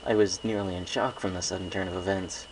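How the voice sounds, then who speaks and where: Low, creaky man narrating a story. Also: fairly even intonation, a little fast, a little hiss or hum, poor audio. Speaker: amateur story reader. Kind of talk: first-person scripted story narration.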